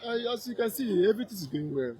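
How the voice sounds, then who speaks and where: A man's voice: high voice, sing-song, quick pace. A man from Nigeria serving as a committee vice chairman, answering briefly and hesitantly. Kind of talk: interview answers. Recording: crowd noise, speaker away from the mic. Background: crowd noise.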